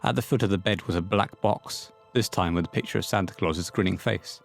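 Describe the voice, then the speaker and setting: A man's voice: deep voice, a little fast, gravelly, soft background music. A man reading scripted horror story narration for an audio play, close on the mic.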